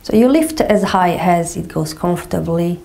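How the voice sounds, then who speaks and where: A middle-aged Portuguese woman with a Portuguese accent, gently guiding a floor movement exercise with slow, calming cues and frequent check-ins. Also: lowish voice, gravelly. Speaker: movement teacher. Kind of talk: guided somatic exercise instruction. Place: small carpeted room indoors.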